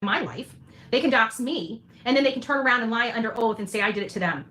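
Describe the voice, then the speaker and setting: A woman's voice: a little high, animated, fast talker, a bit bright. A woman giving an emphatic, declarative livestream monologue, repeating phrases for weight. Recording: noisy replayed livestream audio.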